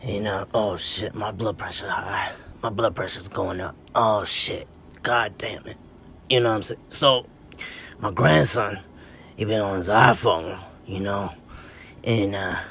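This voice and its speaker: A man's voice: fairly low pitch, fairly even intonation, slow, a little hiss or hum. A man doing a cranky cursing grandpa. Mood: grumbling. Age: older. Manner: grumbling, cursing, bursts of yelling. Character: cranky grandfather fed up with his lazy grandson. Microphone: phone front camera at arm's length.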